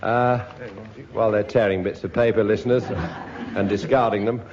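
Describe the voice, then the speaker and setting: A British male panellist delivers deadpan banter in a low voice. The old radio recording is muffled, with a clearly audible audience behind him. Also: normal speed, crowd noise. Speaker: comedy panellist.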